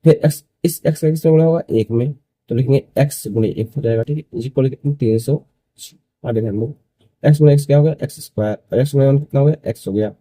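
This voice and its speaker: Male maths tutor, early 20s, very mellow dark voice, dry recording, repetitive question-and-answer explaining. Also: mid-range voice, a little fast, no room sound, low-quality recording. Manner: repeats phrases, asks and answers own questions. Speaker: maths teacher. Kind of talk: step-by-step school maths lesson.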